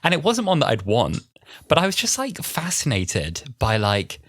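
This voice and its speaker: Young guy podcast host, casual n chatty, pitch jumps all over, super dry recording. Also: normal speed, no room sound. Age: 20s. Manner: chatty, animated storytelling with acted-out asides. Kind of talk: casual chat with a friend.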